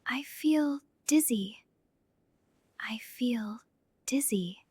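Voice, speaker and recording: A woman leads a slow language drill, saying each short line again and again, often in a whisper. Her voice is husky and breathy, recorded close in a dry, dead room. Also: fairly high pitch, warm tone, no room sound. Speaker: English teacher. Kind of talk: slow repeated phrase drills.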